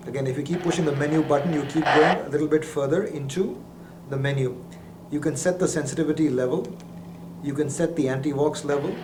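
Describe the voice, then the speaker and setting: Male product presenter in an echoey room. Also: on the high side. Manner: explanatory, methodical. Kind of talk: product demonstration narration. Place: indoor lab. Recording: roomy echo, faint background noise.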